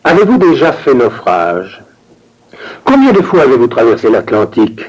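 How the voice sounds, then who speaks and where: A man reading scripted dialogue for a language course with an expressive, theatrical delivery. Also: mid-range voice, moderate pace, breathy edge, dull audio.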